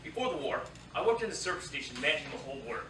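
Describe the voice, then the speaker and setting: An earnest young soldier acted by a man in a staged letter reading. The voice is forceful and slightly high, set back from the mic in an echoey hall with faint noise. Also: sing-song, racing, distant mic. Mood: earnest, self-describing. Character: young Hawaiian-born Japanese-American soldier, shy, earnest.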